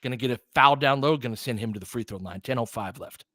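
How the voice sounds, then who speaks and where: A man's voice: medium pitch, quick pace, fairly bright tone, no echo, studio quality. A man doing casual live basketball commentary as a livestream host, talking informally and directly to his viewers.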